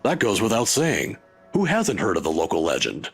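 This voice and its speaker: A man playing a husky old professor. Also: on the low side, huge pitch swings, faint music behind, exaggerated voice. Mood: musing. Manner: measured, lecturing, wry. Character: older male professor, scholarly and guarded.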